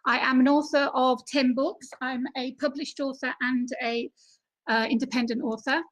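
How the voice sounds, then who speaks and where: Chatty British woman with a high voice. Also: level tone, normal speed, a bit bright. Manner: chatty, laughs easily, many fillers. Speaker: guest author. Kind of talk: casual interview over video call.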